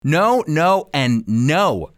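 A man teaching as a radio host in a scripted, sermon-like style, with emphatic, deliberate phrasing. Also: a little high, very animated, faint music behind.

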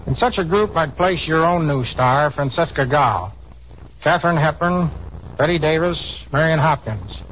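A man with a fairly high voice speaks as a guest on a 1930s radio program. His scripted answers are formal and explanatory, heard through a muffled, slightly noisy broadcast. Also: animated, a little slow. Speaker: photographer, interview guest.

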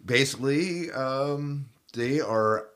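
A man's voice: medium pitch, slow pace, fairly bright tone. A man hosting a podcast, chatting casually through news in a conversational, joking manner. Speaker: podcast host.

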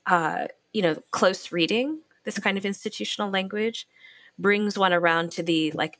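A woman's voice: lowish voice, a bit bright, no echo. A woman who writes criticism and teaches, speaking in a reflective conversation and searching for her words.

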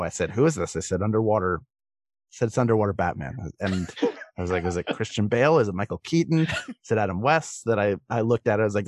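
A man's voice: on the low side, darkish tone, no echo, studio quality. A man, a voice actor, telling anecdotes in a casual podcast interview.

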